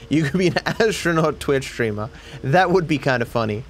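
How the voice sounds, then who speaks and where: A man's voice: a little high, animated, moderate pace, slight background noise. A man with an Australian accent chatting casually to a live stream over gameplay, joking in a dry, sarcastic way. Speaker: video game streamer.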